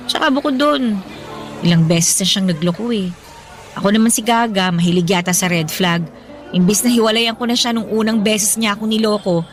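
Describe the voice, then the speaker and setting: An actress playing a feisty, bubbly young office worker on a date in a Filipino audio drama, speaking expressively with a Filipino accent. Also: female voice, medium pitch, lightly acted. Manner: playful, sassy, expressive. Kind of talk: Tagalog audio drama dialogue.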